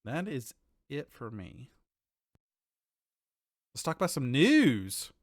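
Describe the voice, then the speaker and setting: Male podcast co-host, mid-pitched, casual halting chat with some swearing, very dry close sound. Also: very animated, no echo.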